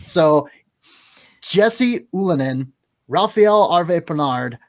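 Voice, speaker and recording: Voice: medium pitch, animated, slow. Co-host steering a hockey podcast segment. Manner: organized, runs the segment, jokey. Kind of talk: casual hockey podcast chat.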